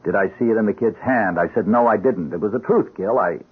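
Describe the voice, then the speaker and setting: A man's voice: lowish voice, fast, slightly rough. An actor playing an uneasy, apologetic policeman with a hesitant, earnest way of talking in a radio drama. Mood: guilty, defensive. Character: uneasy, apologetic policeman, guilty loyal buddy. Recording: muffled, band-limited radio drama recording.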